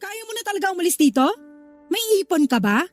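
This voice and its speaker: Very high-pitched scolding older sister. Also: female voice, very animated, fast talker, faint music behind. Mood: angry, dismissive. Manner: confrontational, nagging, interrogating. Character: scolding, hot-tempered older sister, stressed working woman. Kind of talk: Tagalog radio drama acting.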